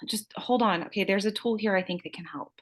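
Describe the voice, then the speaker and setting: A woman's voice: medium pitch, a little fast, natural voice. A woman playing a young beauty queen, an eager helper with hidden menace, in a screenplay table read. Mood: calm, sly.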